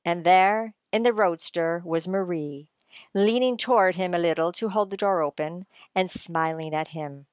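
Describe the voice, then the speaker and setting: A woman's voice: lowish voice, measured pace, clear, resonant tone, no room sound, clean studio sound. A woman narrating a suspense radio play in a dramatic, scripted storytelling style.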